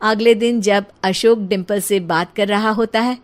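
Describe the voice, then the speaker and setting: A woman's voice: mid-range voice, steady tone, a little slow. A woman narrator telling a scripted comic story in storytelling style.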